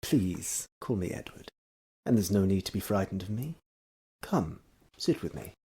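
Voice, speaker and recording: A man playing an earnest Victorian doctor with a dark, suave side, in a husky voice, in stage melodrama style. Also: low voice, expressive, measured pace, heavily acted. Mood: suave, predatory. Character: exhausted earnest male doctor devoted to science; also his suave alter ego.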